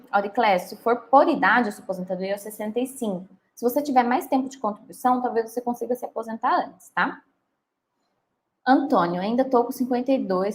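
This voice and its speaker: Woman, 30s, lawyer on a live Q&A; chatty, checks in with listeners often. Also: a little high. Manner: chatty and reassuring, often ends with "tá?". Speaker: lawyer answering viewer questions. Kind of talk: live Q&A, reading comments aloud.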